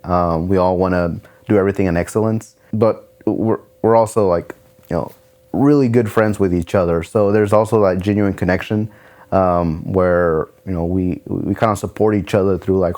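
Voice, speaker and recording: Deep-voiced man, casual and relaxed. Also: normal speed. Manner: relaxed, casual, many fillers like um and kinda. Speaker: nonprofit vice president. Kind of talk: documentary interview.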